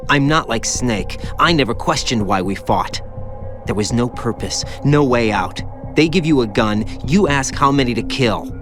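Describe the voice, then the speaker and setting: An actor playing a haunted young soldier and former child soldier, bitter and guilt-ridden, in an anguished video game cutscene. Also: male voice, medium pitch, lively intonation, moderate pace, natural voice. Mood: bitter confession. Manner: anguished, confessional, brooding. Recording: music behind the voice.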